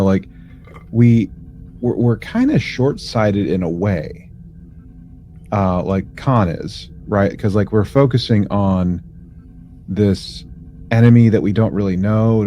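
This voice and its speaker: A man co-hosting a casual podcast, speaking in a halting, folksy, agreeable way. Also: fairly low pitch, warm tone, a little hiss or hum. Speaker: podcast co-host. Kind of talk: casual chat.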